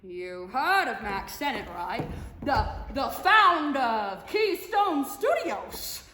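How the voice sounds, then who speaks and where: Woman acting brash sparkling 1910s film starlet, high voice, theatrical, stammering monologue, echoey noisy hall. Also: very animated, slow pace, pushed and bright, heavily acted. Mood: playful, excited. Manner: showy, theatrical, conversational with giggles and stammers. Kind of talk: dramatic monologue performance.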